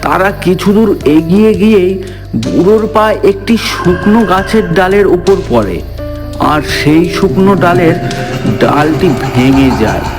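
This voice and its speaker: A man's voice: sits high, unhurried, over music. A man narrating a scripted horror story in a dramatic, suspenseful manner.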